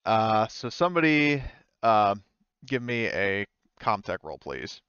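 A man improvising narration as game master of a tabletop game, speaking in a halting, informal way. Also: fairly low pitch, sing-song, fairly bright tone, clear, resonant tone, dry recording, lo-fi sound. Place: indoors, online game session.

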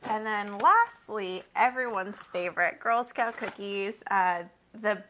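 A woman's voice: medium pitch, lively intonation, slow pace, fairly bright tone, slight background noise, low-quality recording. A young woman guest chatting casually and recommending things in a friendly way, filmed in a living room. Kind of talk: casual chat. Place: living room with window, indoors.

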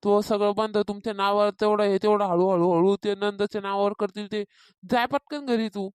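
A woman's voice: medium pitch, fairly even intonation, normal speed, a little mellow, clean tone, dry recording, clean studio sound, natural voice. A woman voicing a meddling, insistent older village gossip in a cartoon comedy, with a rural Maharashtrian accent. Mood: conspiratorial. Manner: insistent, tattling. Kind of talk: Marathi cartoon comedy dialogue.

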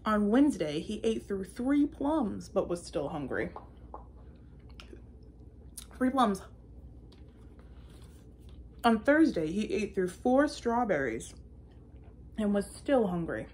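A woman's voice: medium pitch, very animated, slow, pauses often, a little mellow, a little hiss or hum. Playful young woman reading a picture book. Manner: playful, theatrical. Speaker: children's story-time reader. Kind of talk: picture book read aloud. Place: indoor room with bookshelves.

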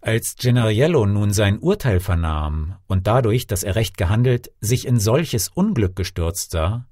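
A man narrating a fairy tale for an audiobook in an expressive, measured, literary storytelling manner. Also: fairly low pitch, no echo, studio quality. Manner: expressive, measured, old-fashioned literary delivery. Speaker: storyteller, actor.

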